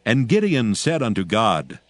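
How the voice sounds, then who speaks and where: Low-voiced man narrating scripture. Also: wildly swooping pitch, measured pace, dry recording.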